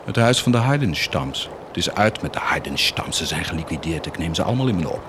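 A man's voice: sits low, expressive, a little fast, noisy background. An actor playing a cynical, blasphemous army commander who is proud of his wickedness, in a theatrical, declamatory radio drama style with defiant outbursts. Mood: boastful, defiant. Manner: declamatory, defiant, swinging from muted menace to outbursts.

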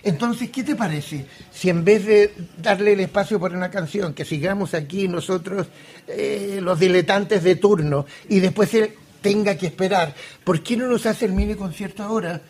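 Older man, fairly high-pitched, informal event presenter, halting repetitions. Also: a little mellow, breathy edge. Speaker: radio presenter at a live event. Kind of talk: informal speech to an audience. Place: live book presentation.

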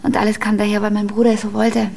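A woman's voice: medium pitch, monotone, quick pace, gravelly, darkish tone. An actress in a German radio drama playing a frank, melancholy young woman who confides hesitantly. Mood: confiding, weary.

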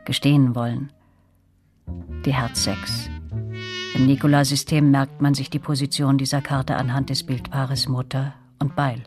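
An actress playing a grown woman who narrates a German radio drama reflectively, with a husky, breathy voice. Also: very deep voice.

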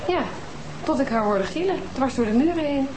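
A woman's voice: high-pitched, expressive, brisk. An actress playing a neighbour and mother who answers protectively and a little defensively. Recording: TV sound with room echo and background noise.

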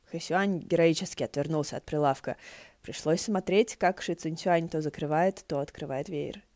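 A woman reading fan fiction aloud as an expressive audiobook narration. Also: low-pitched, moderate pace, no room sound.

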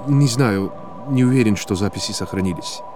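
A man's voice: lowish voice, normal speed, music in the background, lightly acted. A man voicing a composed, pragmatic male boss on the phone in a dramatized audiobook. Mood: calm, guarded.

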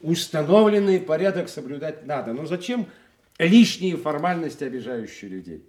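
A man acting in a Soviet radio play as a brisk party secretary, speaking in official phrases with dry, authoritative irony. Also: fairly high pitch, big pitch swings, darkish tone. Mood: ironic, insistent. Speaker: actor.

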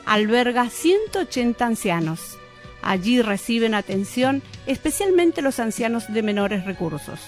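A woman's voice: fairly high pitch, sing-song, a little slow, soft background music. A woman with an Argentine accent reading scripted documentary narration in a formal, solemn manner.

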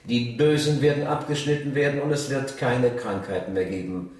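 Ein Schauspieler spielt in einem Krimi-Hörspiel einen feierlichen religiösen Prediger an der Haustür, gemessen und rhetorisch. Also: male voice, medium pitch, darkish tone, lightly acted. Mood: fervent. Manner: rhetorical, measured, preaching. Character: solemn door-to-door religious preacher reciting doom and salvation. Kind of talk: German radio crime play acting. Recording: very echoey, distant from mic.

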